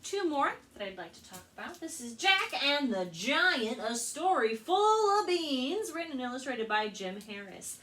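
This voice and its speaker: An American woman hosts a children's storytime with a bright voice and a very lively, expressive melody. She speaks like a practiced storyteller presenting to young listeners. She sounds a little way from the microphone, with faint noise behind her. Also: sits high, a little slow, few pauses. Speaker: children's librarian and storytime host. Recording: distant mic with faint background noise.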